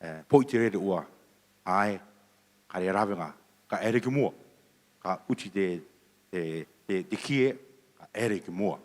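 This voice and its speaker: A man gives a testimony in a church. He speaks softly and haltingly, with many pauses. His voice carries the long reverb of the hall. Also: on the low side.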